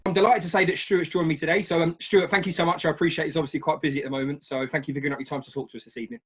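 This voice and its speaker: A man's voice: a little high, steady tone, racing, slightly rough. A man with an English accent hosting an interview podcast in a chatty, polite manner.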